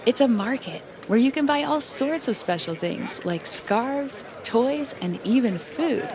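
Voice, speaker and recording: A woman's voice: a little high, expressive, unhurried, a crowd in the background. A woman voicing a proud, friendly young Egyptian boy who welcomes and explains things in a children's storybook. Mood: explaining. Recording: clearly noisy background.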